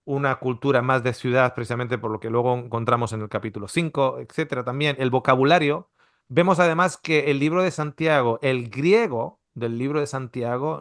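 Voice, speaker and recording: A man's voice: mid-range voice, a bit bright, clear, resonant tone, dry recording, clean studio sound. A middle-aged man from Spain teaching a Bible seminar in a conversational, explanatory way, full of restarts and rhetorical questions. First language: Spanish. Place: Australia.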